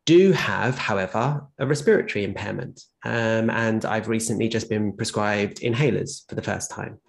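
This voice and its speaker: A man sharing his own health experience in a casual conversation, often pausing and restarting. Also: fairly low pitch, creaky. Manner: rambling, many fillers and restarts. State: short of breath at times. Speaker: guest with lived experience of long covid. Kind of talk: conversational personal account.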